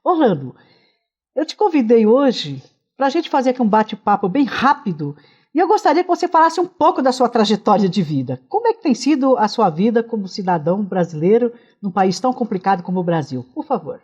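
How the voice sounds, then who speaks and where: A woman from Northeast Brazil hosts her channel and interviews a guest. Her fairly high voice moves in lively swings, though she often stops and restarts her questions. The sound is dull and lo-fi. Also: a little fast, husky. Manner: hesitant, with many fillers and restarts. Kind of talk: video interview hosting.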